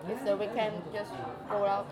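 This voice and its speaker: A woman with a Malaysian Chinese accent, her first language Chinese, speaking halting English in a casual interview and searching for words. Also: medium pitch, level tone. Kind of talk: casual conversation. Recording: loud music behind the talk.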